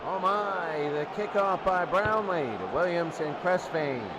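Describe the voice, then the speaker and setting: A man giving excitable live radio play-by-play of football, repeating phrases for emphasis. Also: sits high, unhurried. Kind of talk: live sports radio commentary. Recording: radio call with music and crowd noise.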